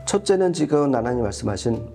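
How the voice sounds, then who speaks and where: A man's voice: mid-range voice, expressive, fast, vocal fry, warm tone, faint music behind. A man giving an explanatory product review as a co-host, going step by step and rephrasing as he goes.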